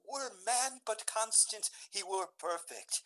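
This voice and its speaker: A man's voice: high-pitched, takes it slowly, sharp tone, full character voice. An actor playing an ardent, faithless young male lover in a Shakespeare radio drama, speaking verse theatrically. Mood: awed, ardent.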